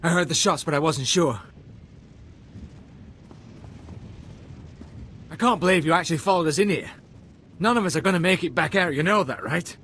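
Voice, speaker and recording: An actor playing a doomed, defiant terrorist in a video game, bitter and fatalistic. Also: male voice, fairly high pitch, animated, fast, a bit bright, slight background noise. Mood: shaken, despairing.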